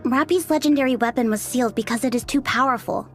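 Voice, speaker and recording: A woman's voice: high-pitched, quick pace, natural voice. An actress playing a sassy, playful young woman soldier in a video game. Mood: playful explaining. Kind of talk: English video game dub acting. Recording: music behind the voice.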